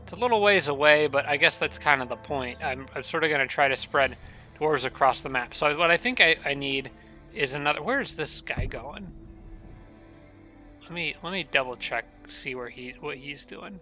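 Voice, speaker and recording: A man casually commentating a strategy game, thinking aloud and correcting himself, his voice a little raspy and nasal from allergies. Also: a little high, normal speed, bright, forceful tone, soft background music. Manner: thinking aloud, rambling with restarts.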